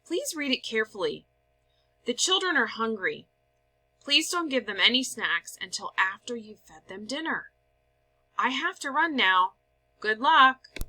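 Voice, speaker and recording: Storybook mother, played by a female reader: displeased, brisk, firm and emphatic, with bright, lively intonation. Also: fairly high pitch, heavily acted, low-quality recording. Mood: stern, hurried. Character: brisk, displeased mother instructing her babysitter. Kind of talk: storybook read-aloud.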